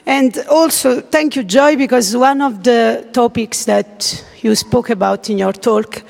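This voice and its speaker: A woman in her thirties with an Italian accent gives a hesitant, nervous conference talk, full of pauses and restarts. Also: on the high side, creaky, darkish tone, long reverb tail. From Italy. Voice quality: hesitant, many uh fillers and restarts. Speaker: conference speaker. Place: large lecture hall.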